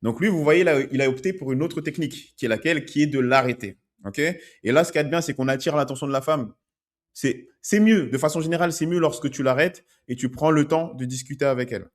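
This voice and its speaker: A man's voice: fairly high pitch, fast. A man working as a dating coach, talking through video clips in a conversational way and often checking in with "ok?". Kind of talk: commenting on street-approach video clips.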